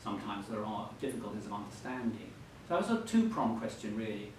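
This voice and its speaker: A man acting as interviewer at a book event, putting questions politely in halting, hesitant phrases. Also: on the low side, very animated. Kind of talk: conversational questioning before an audience. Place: indoor event hall. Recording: echoey hall, distant mic, faint noise.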